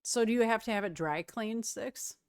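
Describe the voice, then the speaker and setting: A woman's voice: fairly high pitch, moderate pace, clear, resonant tone, dry recording, clean studio sound. A young woman from Wisconsin with a slight Wisconsin accent, chatting casually with her stream's chat and laughing often.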